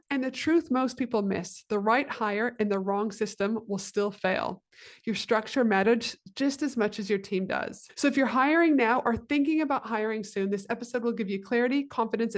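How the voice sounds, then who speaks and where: A woman podcast host reading an upbeat, scripted introduction to an episode. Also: a little high.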